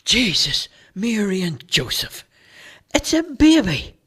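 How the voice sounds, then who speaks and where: A man's voice: mid-range voice, huge pitch swings, slow pace, gravelly, pitched above the performer's own voice, heavily acted. A man voicing Father Saul, a weary, kindly priest who speaks piously and sighs at his lot. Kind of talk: amateur play read aloud.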